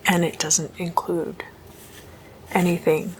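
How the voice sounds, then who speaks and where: A woman doing whispered ASMR as a host, hushed and deliberate, mostly in breathy, unvoiced whisper. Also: lowish voice, level tone, slight background noise. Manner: slow, hushed, deliberate delivery.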